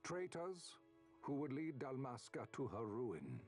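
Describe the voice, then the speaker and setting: An actor playing a solemn older nobleman in a video game, giving a formal, grave, measured oration about his kingdom's surrender. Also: mid-range voice, sing-song, darkish tone, soft background music. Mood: stern, solemn.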